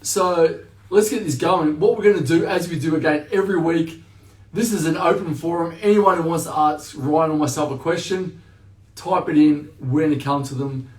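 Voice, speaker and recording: Big-voiced host in echoey room. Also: male voice, a little high, moderate pace. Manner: loud, big voice, chatty and upbeat. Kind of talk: casual live-stream interview. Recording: echoey room, distant mic.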